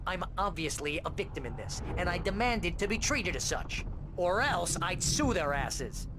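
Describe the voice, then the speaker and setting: An actor playing an excitable, brash best friend in a video game, whining and complaining with fussy indignation. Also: male voice, high voice, moderate pace, noisy background. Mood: indignant complaining. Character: excitable, whiny, brash male best friend, comic sidekick. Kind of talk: video game character acting. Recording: loud music behind.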